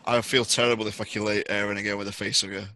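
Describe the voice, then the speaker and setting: A man's voice: fairly low pitch, little pitch movement, very fast, pushed and bright, dry recording. A man working as game master, improvising narration for a tabletop horror role-playing game.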